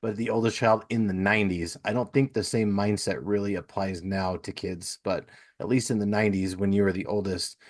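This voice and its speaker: A man in his thirties with a fairly low voice, chatting as a podcast host. He is affirming and informal, restating his points in several ways as he talks. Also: fairly even intonation, a little fast, hardly any pauses. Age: 30s. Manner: affirming, repeats and rephrases himself. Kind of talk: casual conversational interview.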